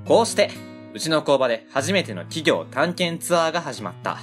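A woman voices an easygoing male factory owner in his late thirties, speaking with a Kansai accent. The voice sits low yet swings lively as he tells stories. Soft music plays faintly underneath this radio drama. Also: fairly quick. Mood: reflective. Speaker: narrator and lead character. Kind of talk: amateur web radio drama.

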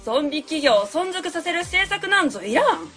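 A woman's voice: very high voice, very animated, fairly quick, a bit bright, faint music behind, full character voice. A woman playing a haughty, scornful academic adviser who barks orders in a comic radio drama. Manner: haughty, commanding.

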